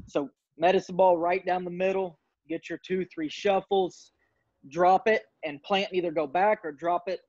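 A man explains a coaching drill step by step over a video call. His voice sits a bit high, and the audio is dull and dry. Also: a little mellow, no echo. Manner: methodical, explanatory. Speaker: baseball coach. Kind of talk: video call panel discussion. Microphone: video call webcam.

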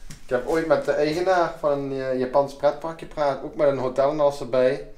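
A man's voice: a little high, fairly even intonation, moderate pace. Chatty man in echoing empty halls. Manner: chatty, filler-heavy, drops to a hush. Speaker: urban explorer vlogger. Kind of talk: casual exploring chat. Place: large empty abandoned hotel, indoors. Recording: echoey empty halls, background noise.

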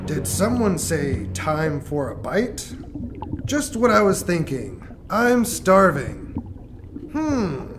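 Woman acting a slow, menacing big bad shark. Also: low-pitched, big pitch swings, music in the background, voice pushed down, full character voice. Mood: gleeful menace. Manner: menacing, drawn-out, theatrical. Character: hungry, scheming big bad shark villain, male. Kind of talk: children's puppet show character.

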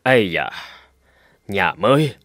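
An actor playing an anxious, kindly middle-aged homeowner in a cartoon ghost story, given to dramatic talking to himself. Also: male voice, lowish voice, takes it slowly, dry recording, clean studio sound, heavily acted. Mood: puzzled musing. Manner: expressive, dramatic, muttering to himself. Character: anxious, kindly older male homeowner, newly moved in.

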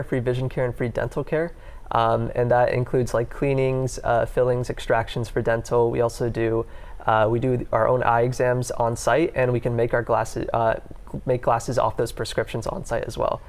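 Casual young man, flat delivery. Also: mid-range voice, moderate pace. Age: young adult. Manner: casual, many fillers like "um" and "like". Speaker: pre-med student volunteer. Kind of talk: live TV interview. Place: TV news studio. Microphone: lapel.

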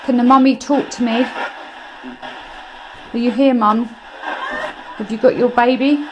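A woman in her thirties vlogging a ghost hunt in a dark bedroom of an abandoned farmhouse, calling out to spirits and then speaking low and shaken to the camera, recorded on a close handheld camera. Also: sing-song, slow, highs cut off, noisy background. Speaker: paranormal investigator. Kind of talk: ghost-hunting vlog narration.

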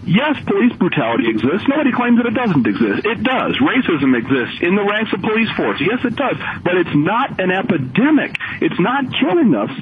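A man's voice: high voice, very animated, normal speed, hardly any pauses. An American man calling in to a radio show with an emphatic opinion, driven by rhetorical questions. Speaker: radio phone-in caller. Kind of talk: impassioned opinion on call-in radio. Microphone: telephone. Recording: tinny phone line, faint noise.

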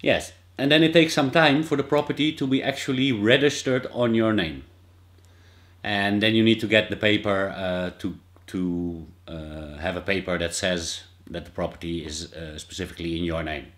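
A Dutch man in his thirties talks through a how-to explanation in a relaxed vlog. His voice sits somewhat low, and he pauses often with fillers and restarts. He is recorded by a desk camera in a small indoor office. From Netherlands. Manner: hesitant, many fillers and restarts. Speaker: vlogger. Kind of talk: casual informative vlog.

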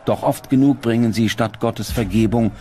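A man reading scripted documentary narration in standard German, with a measured, grave delivery. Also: medium pitch, mellow. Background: background music.

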